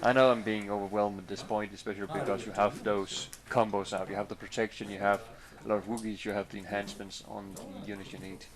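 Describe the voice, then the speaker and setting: Danish dude commentating his card game, lowish voice, chatty, lots of restarts and uhs. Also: level tone, fast talker, few pauses, a crowd in the background. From Denmark. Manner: chatty, hesitant, many restarts. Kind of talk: casual commentary over match footage.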